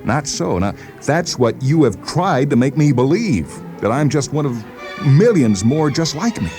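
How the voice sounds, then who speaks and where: A man's voice: mid-range voice, very animated. Man acting an agitated paranoid patient, music underneath. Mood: agitated, accusing. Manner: insistent, halting, repeats himself. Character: agitated paranoid male patient convinced the world conspires against him. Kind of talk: dramatized short story reading.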